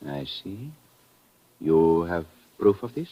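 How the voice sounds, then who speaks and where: An actor playing a courteous, watchful police lieutenant with an Iberian accent, formal and measured, in a 1950s radio drama style. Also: male voice, low-pitched, very animated, exaggerated voice. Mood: formal, suspicious. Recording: dull old radio recording.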